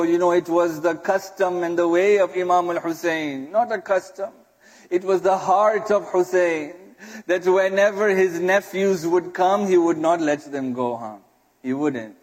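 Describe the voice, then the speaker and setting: Male preacher, very high pitch, slow delivery, mournful religious storytelling, emotive, tearful breaking voice. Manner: emotive, dramatic, grief-laden delivery. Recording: echoey hall with long reverb.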